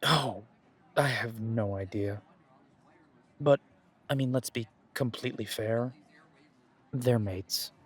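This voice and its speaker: A man's voice: medium pitch, slow pace, long pauses, breathy edge. A man playing a caring, easygoing werewolf pack leader in an audio roleplay, talking in a casual, conversational way with trailing phrases. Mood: defensive, earnest.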